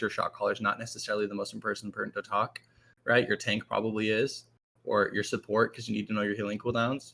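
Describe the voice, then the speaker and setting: A man guesting as a team shot caller, talking loudly in a rambling, filler-heavy casual way. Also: lowish voice. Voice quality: loud voice. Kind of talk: casual panel chat.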